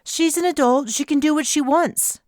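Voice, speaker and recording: A woman's voice: sits high, moderate pace, a little mellow, no room sound, studio quality, voice pushed up, lightly acted. A woman reading aloud, voicing a beaked alien woman who hisses her words defensively. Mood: defiant. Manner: hissing. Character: beaked alien female, old friend, defensive.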